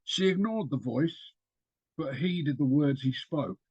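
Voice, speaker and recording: A man reading an extract of his own fiction aloud over a video call, with a steady storytelling delivery and small slips. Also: fairly high pitch, slow, breathy edge, no room sound, lo-fi sound.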